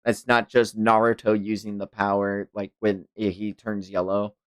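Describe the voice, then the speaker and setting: A man's voice: lowish voice, level tone, moderate pace, clear, resonant tone, dry recording, studio quality. A man hosting a manga read-along, mixing narration with enthusiastic, casual fan commentary. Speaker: host reading a manga aloud on livestream.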